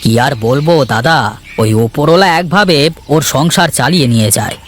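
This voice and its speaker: A man playing a mild, worried elder brother who farms, speaking gently and conversationally in a village cartoon. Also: on the high side, lively intonation, a little fast, slight background noise, lightly acted. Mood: resigned. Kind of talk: Bangla cartoon voice acting.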